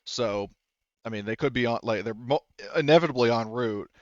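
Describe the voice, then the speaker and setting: A man with a smooth, clear voice and medium pitch explains things casually at a game table. He is talky and works out his thoughts aloud. The recording is dry and slightly dull. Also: moderate pace, no room sound. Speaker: tabletop roleplaying game participant.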